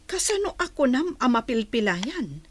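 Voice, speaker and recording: A woman playing a steady, reassuring but insistent comrade in a secretive group, in an Ilocano radio drama. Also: fairly high pitch, moderate pace.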